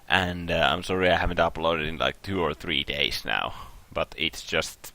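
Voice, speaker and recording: A sleep-deprived young man hosting a lets play, talking slowly with a raspy voice and rambling with lots of fillers. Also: rumbling low, pushed and bright. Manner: slow, rambling, full of fillers and restarts. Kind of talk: casual gaming commentary.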